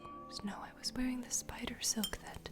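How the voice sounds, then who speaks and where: A woman playing a nurturing, gossipy vampire bartender in an ASMR fantasy roleplay, speaking in a soft, breathy, confiding whisper. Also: fairly high pitch, steady tone, a little fast, loud music behind the voice, lightly acted. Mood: gossipy, wistful. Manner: intimate, confiding, gentle.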